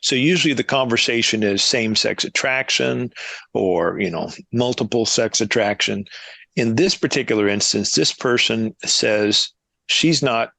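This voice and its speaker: A man's voice: mid-range voice, normal speed, creaky, sharp tone, no echo. Thoughtful, candid man in his fifties. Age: 50s. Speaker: guest, book co-editor. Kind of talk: conversational interview.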